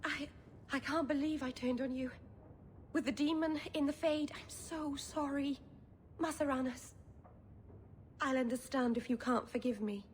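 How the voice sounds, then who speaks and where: A young elven mage, apologetic, halting, somewhat high. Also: female voice, a little hiss or hum. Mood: remorseful.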